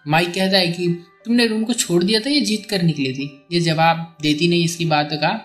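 A man's voice: high-pitched, steady tone, moderate pace, fairly bright tone. A man narrating a movie plot as a casual voice-over, conversational and colloquial, often rephrasing as he goes. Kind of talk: casual film plot explanation in storytelling voice-over. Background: background music.

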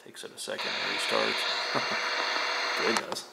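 A man's voice: fairly low pitch, huge pitch swings, slow, vocal fry. A man presenting a casual hands-on gadget demonstration, unscripted and thinking aloud as he works. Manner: unscripted, thinking aloud while operating a device. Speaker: presenter of a vintage electronics show. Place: indoors at a table. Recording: roomy, noisy, radio static behind.

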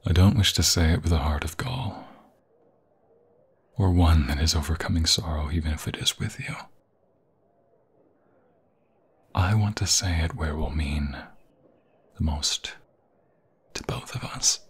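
A man playing a tender young vampire fiancé in an intimate ASMR roleplay, with a breathy, husky, often whispering voice and halting, sentimental, poetic phrasing. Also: very deep voice, heavily acted. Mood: tender, hesitant.

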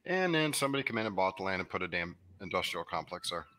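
A man's voice: sits low, big pitch swings, fast, bright, forceful tone, slight background noise. A man on a video call speaking bluntly in plain, casual talk.

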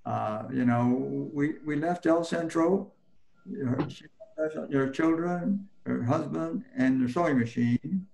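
An older man remembering his life as a guest on a video call, talking haltingly with many fillers and restarts. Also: fairly high pitch, vocal fry, darkish tone, rough recording. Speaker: panel guest. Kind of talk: personal recollection in an interview. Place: home room, indoors.

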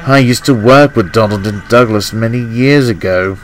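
A man's voice: on the low side, normal speed, slight background noise, natural voice. A man voicing a timid, repentant old brake van in a children's storybook, speaking in a hesitant, apologetic way. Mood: sad, wistful.

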